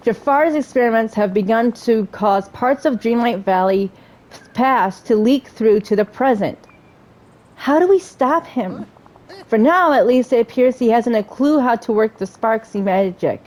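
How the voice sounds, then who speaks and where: A woman's voice: a little high, darkish tone. A woman doing a casual video game playthrough, chatting and reading the dialogue aloud. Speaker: streamer playing a video game.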